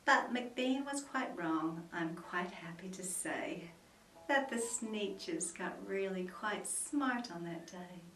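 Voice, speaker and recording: A woman reading a children's book aloud at home, dramatic and expressive with soft whispers. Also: lowish voice, slow pace. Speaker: storyteller. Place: room at home. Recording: roomy echo, mic at a distance, faint music.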